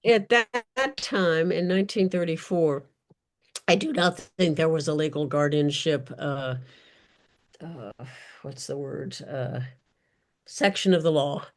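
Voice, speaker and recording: A woman's voice: low voice, slow pace. A woman author answering audience questions in an unscripted discussion, speaking carefully and often searching for her words. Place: virtual event.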